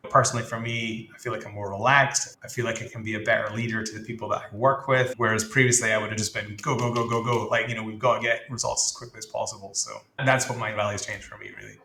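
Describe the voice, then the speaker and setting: A man's voice: fairly low pitch, little pitch movement, fast talker, hardly any pauses, pushed and bright, reverberant. Scottish man with a mixed accent. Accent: mixed Scottish and Australian. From northeast Scotland. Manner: reflective, with fillers and frequent laughs. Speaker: guest, tech executive. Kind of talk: casual interview talk.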